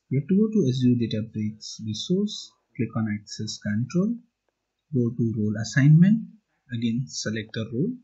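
Mellow-voiced man explaining a tutorial. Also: medium pitch, husky. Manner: plain step-by-step explaining, asks and answers own questions. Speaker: tutorial instructor. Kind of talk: explaining slides and screen demo. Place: voice-over. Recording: muffled lo-fi recording.

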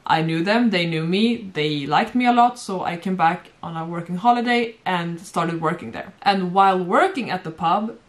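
A young woman from Sweden recounts her modeling years in relaxed, chatty speech. Her voice sits slightly low and often breaks into giggles. She talks on camera at home, seated beside a friend. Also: moderate pace, hardly any pauses. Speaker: former model, guest. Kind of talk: casual storytelling chat. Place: bright home room, seated on sofa.

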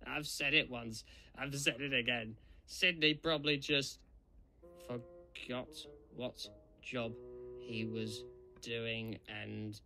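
A man's voice: medium pitch, fairly even intonation, slow pace, gravelly, pushed and bright, soft background music, full character voice, poor audio. A man voicing a vain, dramatic steam engine detective in a cartoon, pompous and easily exasperated. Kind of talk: cartoon comic dub dialogue.

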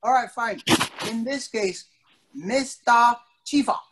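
A middle-aged man teaching a young kids' class over a video call, telling stories playfully with exaggerated surprise and drawn-out words. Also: very high-pitched. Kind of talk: playful interactive storytelling to young children. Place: indoor studio, on a video call. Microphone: device mic at a short distance on a video call. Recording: video call with background noise and clicks.

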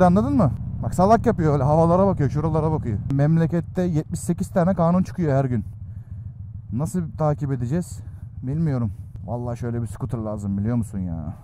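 A middle-aged man talking casually while riding a motorcycle through city traffic, chatty and ranting at other drivers. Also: on the high side. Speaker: motovlogger. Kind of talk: casual ride commentary. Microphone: helmet or action camera mic. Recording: motorcycle ride with clear road noise. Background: traffic and wind noise.

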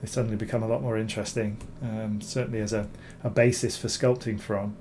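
A man, hoarse from recovering from illness, talking through a screen-recorded software tutorial, rambling with many ums and repeated words. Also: lowish voice, little pitch movement, quick pace, slight background noise. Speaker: bas-relief sculptor reviewing software.

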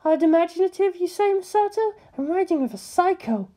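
A woman's voice: very high voice, lively intonation, fairly quick, dark tone, voice pushed up. A woman voicing a bossy, exasperated young female officer who exclaims indignantly and is easily flustered. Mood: incredulous.

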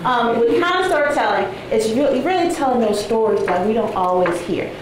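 A woman in her forties giving an academic talk to a seated audience, soft-spoken and conversational with frequent ums, heard from a distant camera in a large seminar room. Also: fairly high pitch, expressive, brisk. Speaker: guest lecturer. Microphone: far camera, no visible mic. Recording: echoey room, noisy background.

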